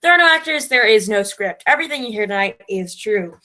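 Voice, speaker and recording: A woman's voice: medium pitch, expressive, brisk, pushed and bright. A woman playing a domineering, self-important playwright and director in a theatrical comedy, with a grandiose, imperious manner. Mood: grand announcing. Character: pompous, domineering female radio playwright-director, self-declared genius.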